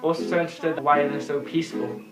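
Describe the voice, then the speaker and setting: A man's voice: on the high side, fast. A man giving an earnest personal statement in simple, sincere phrasing. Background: guitar music.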